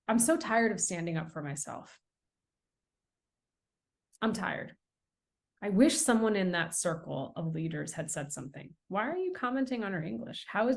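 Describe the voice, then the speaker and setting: A middle-aged American woman, an author and guest on a podcast interview, telling stories in an earnest, emphatic way. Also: mid-range voice. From United States. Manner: earnest, emphatic, animated storytelling.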